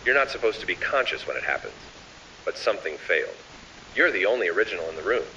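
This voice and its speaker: A man with a lowish, forceful voice delivers ominous horror narration, swinging noticeably in pitch as he measures out each phrase. The recording is dull and sounds distant from the microphone. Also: big pitch swings, fast, a little hiss or hum. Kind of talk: scripted analog horror narration, addressing the listener.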